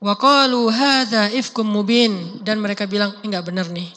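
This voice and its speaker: A man, giving a casual sermon, talking in a conversational, emphatic way and chanting verses slowly. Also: high-pitched, fairly even intonation, pushed and bright, long reverb tail. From Indonesia. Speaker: preacher.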